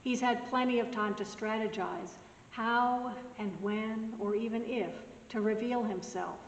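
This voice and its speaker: A woman pastor giving a soft-spoken, gently conversational sermon message. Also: a little high, measured pace, highs cut off, slight background noise. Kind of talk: recorded weekly sermon message. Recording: roomy echoing hall, mic at a distance.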